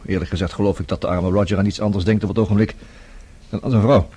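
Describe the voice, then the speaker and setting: A self-assured young interviewer in a radio play. His voice is lowish and raspy, his manner breezy and wry as he sidesteps with easy confidence. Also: steady tone, fast talker, warm tone. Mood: agreeable.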